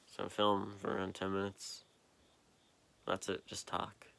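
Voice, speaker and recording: A tired young man with a deep voice, rambling slowly in a late-night vlog, halting and full of fillers, recorded on a propped-up phone in a quiet basement. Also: monotone, creaky. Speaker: vlogger. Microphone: phone propped up nearby.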